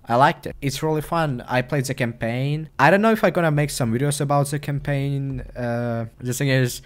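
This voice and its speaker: A teenage boy from Ukraine with a Ukrainian accent, talking earnestly and haltingly in a casual vlog over gameplay. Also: medium pitch. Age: teens. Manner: earnest, halting, many restarts. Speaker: gaming channel host.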